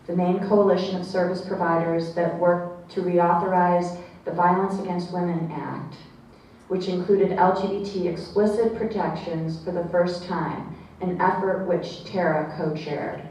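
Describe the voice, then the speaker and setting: A woman with a lowish voice reads a guest speaker's bio aloud at a meeting, stumbling now and then. She sounds distant from the microphone, and heavy echo fills the room. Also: darkish tone, slight background noise. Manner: reads a formal bio, with small slips and asides. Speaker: meeting organizer introducing a speaker. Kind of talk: reading a speaker introduction. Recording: echoey room, voice from far off.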